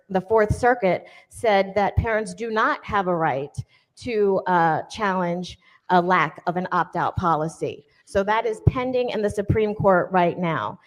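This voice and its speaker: A woman giving formal testimony at a hearing, measured and a little hesitant. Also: mid-range voice. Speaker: hearing witness.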